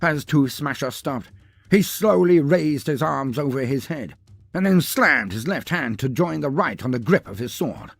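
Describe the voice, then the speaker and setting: A man's voice: mid-range voice, lively intonation, moderate pace, gravelly, no room sound, soft background music. A man narrating a scripted story in a dramatic, grandiose, theatrical manner.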